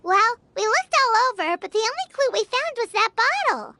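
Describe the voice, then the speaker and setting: A woman's voice: extremely high pitch, sing-song, moderate pace, sharp tone, no room sound, heavily acted. A woman voicing a small, childlike, curious fairy companion in a video game. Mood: curious, puzzled.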